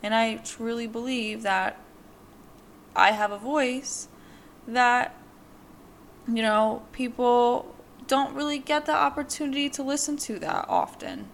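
Podcast host, female, 20s, gravelly, candid and rambling, faint hiss behind. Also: on the high side, sing-song, takes it slowly, pauses often. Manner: candid, rambling, with many fillers. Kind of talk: personal monologue.

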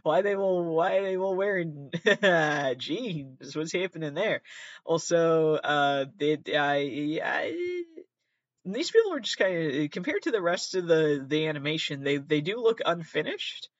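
Man, higher-pitched voice, casual reaction host, rambling, sardonic asides, sweary, slightly muffled lo-fi recording. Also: animated, a little slow. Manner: sardonic, sweary asides and muttered self-talk. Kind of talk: casual rambling reaction commentary, reading aloud from web articles. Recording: roomy, slightly dull lo-fi sound.

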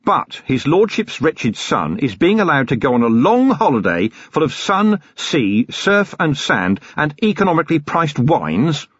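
A man voicing a gruff middle-aged police commander who talks bluntly and sullenly. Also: mid-range voice, sing-song, moderate pace, fairly bright tone, no echo, highs cut off, voice pushed up.

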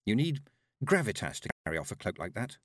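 A man's voice: fairly low pitch, very animated, fairly quick, dry recording, clean studio sound. A man voicing a plucky, clever young apprentice witch, an earnest and direct girl in a storybook reading. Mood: polite.

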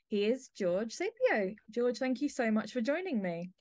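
A woman's voice: fairly high pitch, very animated, normal speed, darkish tone. Woman hosting a theatre podcast. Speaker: podcast host. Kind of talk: podcast interview.

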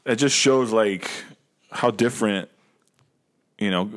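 Low-voiced American man chatting casually. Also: slow pace. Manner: rambling, slangy, repeats himself. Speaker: podcast co-host. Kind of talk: casual chat.

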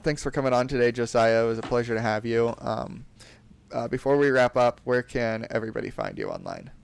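A young man hosting a casual podcast interview, talking in a halting way with lots of fillers and restarts. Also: medium pitch, sing-song, creaky, clean tone. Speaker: podcast host.

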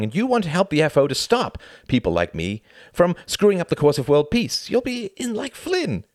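A man's voice: deep voice, darkish tone, dry recording, clean studio sound. A man voicing a sardonic, articulate male friend in an audiobook, reasoning and lecturing with emphatic exasperation.

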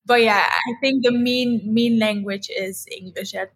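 A woman's voice: fairly high pitch, fairly even intonation, moderate pace. A woman in her thirties whose first language is Dutch, chatting as an interview guest in a rambling way, full of "like" fillers. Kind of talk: casual interview chat.

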